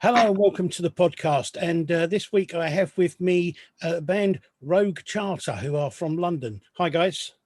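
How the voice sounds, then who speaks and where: A man's voice: fairly high pitch, normal speed, few pauses, darkish tone, no room sound. Man hosting a podcast in a dry, close sound. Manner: hesitant, many ums and restarts. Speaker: podcast host. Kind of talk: casual interview.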